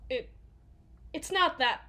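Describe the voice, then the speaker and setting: Woman playing a slow-talking, sardonic female villain bodyguard. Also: high voice, expressive, pushed and bright, heavily acted. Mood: guarded confession. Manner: sweary, sardonic, halting, confiding. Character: gruff, foul-mouthed female villain's bodyguard, sardonic and haunted. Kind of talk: ASMR audio roleplay, intimate dramatic monologue.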